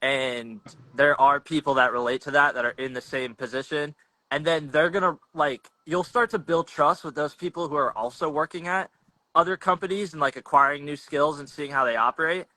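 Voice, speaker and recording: Laid-back young man hosting, bright and dry. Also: fairly high pitch, fairly even intonation, normal speed, no echo, poor audio. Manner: laid-back, slangy, rambling with restarts. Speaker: podcast host. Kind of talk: casual chat.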